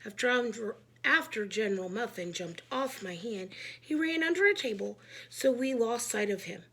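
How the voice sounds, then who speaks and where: A woman reading a children's book aloud, narrating expressively in an animated, emphatic way. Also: fairly high pitch, moderate pace, breathy edge. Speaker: read-aloud storyteller.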